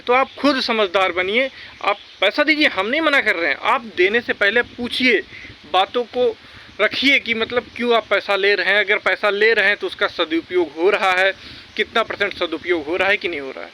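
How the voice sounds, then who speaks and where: High, bright-voiced man urging his listeners. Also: a little hiss or hum, poor audio. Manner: exhorting, repeats points for emphasis. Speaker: vlogger giving recruitment exam updates. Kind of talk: informal opinionated address to viewers.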